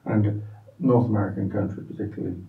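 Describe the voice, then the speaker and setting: A man interviewing in an academic style, phrasing his questions carefully and haltingly, heard distant and slightly muffled in an echoey room. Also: lowish voice, fairly even intonation, vocal fry. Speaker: interviewer, university professor.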